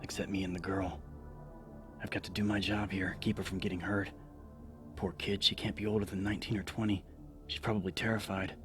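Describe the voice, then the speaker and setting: A man's voice: deep voice, little pitch movement, brisk, over music, natural voice. A man playing an anxious but determined young rookie policeman, terse and urgent, in an audio horror drama. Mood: anxious inner thoughts.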